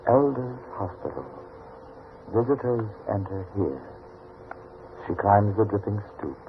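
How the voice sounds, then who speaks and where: An actor playing a solemn, ominous narrator of eerie tales, with dramatic, measured 1940s radio suspense delivery. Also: male voice, fairly low pitch, big pitch swings, creaky, slight background noise, full character voice. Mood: ominous, hushed. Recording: narrow-band old radio broadcast.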